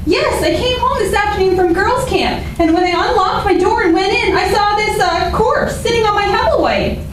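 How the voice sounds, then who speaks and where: Very high-pitched, flustered damsel. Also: female voice, moderate pace. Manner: breathlessly confused, hesitant. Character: flustered, scatterbrained young female client in distress. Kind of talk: old-time detective radio play acting. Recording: very echoey room, distant mic, faint noise.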